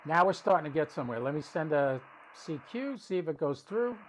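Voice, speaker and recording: Higher-voiced man live-streaming over background noise. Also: big pitch swings, brisk, rough recording. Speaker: ham radio livestream host. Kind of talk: casual live commentary while operating radio.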